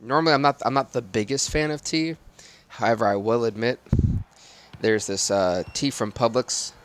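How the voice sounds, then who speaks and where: A man with a raspy voice doing live football game commentary and stream chat in sportscaster phrasing. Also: mid-range voice, normal speed, fairly bright tone.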